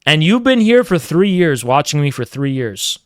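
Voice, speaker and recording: A man's voice: a little high, big pitch swings, moderate pace, no room sound, studio quality. A man live-streaming, chatting casually and teasing his chat while rambling through strong opinions.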